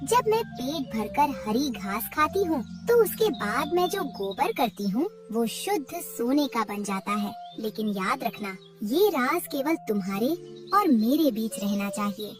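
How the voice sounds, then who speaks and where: A woman voicing an old, divine mother cow in a cartoon, gentle and solemn. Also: on the high side, big pitch swings, unhurried, distant mic, over music. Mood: confiding, warning.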